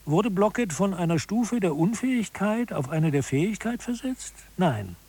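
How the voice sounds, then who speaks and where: An actor playing a self-assured hierarchy expert who explains absurdities gravely, in a didactic, mock-scholarly manner for an audio drama. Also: male voice, sits high, very animated, moderate pace, a little mellow, heavily acted. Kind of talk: scripted audio drama lecture.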